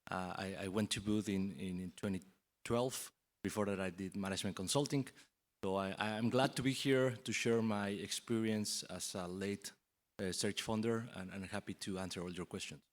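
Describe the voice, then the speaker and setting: A man in his forties from Mexico, with a Mexican accent, speaking earnestly as a panelist. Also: fairly low pitch. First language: Spanish. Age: 40s. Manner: earnest, with repetitions.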